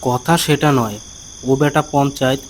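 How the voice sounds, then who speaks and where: A man's voice: mid-range voice, normal speed, a little hiss or hum, lightly acted. A man voicing the bossy, cautious leader of a band of village thieves in a cartoon, giving urgent orders. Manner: urgent, commanding. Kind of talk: cartoon voice acting.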